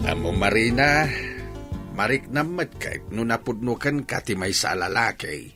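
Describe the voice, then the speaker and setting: A man's voice: medium pitch, measured pace, over music, close to an everyday voice. An actor playing a big-hearted, teasing village man with an Ilocano Filipino accent, bantering in a comedy radio drama. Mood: earnest. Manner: animated, bantering.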